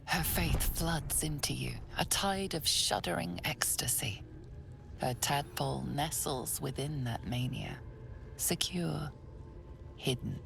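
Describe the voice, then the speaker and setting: A woman narrating a fantasy video game as a solemn storyteller, in a hushed, ominous manner. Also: low-pitched, slow, creaky, noisy background, heavily acted.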